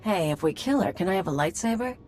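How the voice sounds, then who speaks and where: A woman's voice: medium pitch, expressive, fast, a little mellow, faint music behind, close to an everyday voice. A woman acting a wisecracking, irreverent sidekick in a space adventure video game, speaking casually. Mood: flippant.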